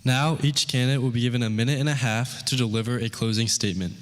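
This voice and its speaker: A man's voice: mid-range voice, fairly even intonation, fast. A man moderating a town hall, formally announcing each speaker. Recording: reverberant hall with faint crowd.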